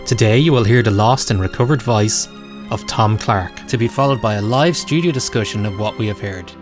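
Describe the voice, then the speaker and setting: A man voicing a formal radio announcer introducing a program. His voice sits fairly low, and clear music plays behind him. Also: natural voice.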